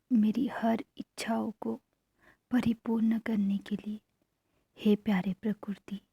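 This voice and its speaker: Woman, whispered breathy voice, slow pace, dark soft tone, guided meditation affirmations, short hushed phrases. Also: medium pitch, no room sound.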